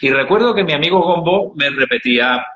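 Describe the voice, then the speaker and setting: Andalusian guy in an interview, lots of ehs, bright voice, dull roomy sound. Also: fairly high pitch, expressive. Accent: Andalusian Spanish. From Andalusia, Spain. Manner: unhurried storytelling with many hesitations. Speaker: interviewee, founder of an aid project. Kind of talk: telling travel anecdotes in an interview.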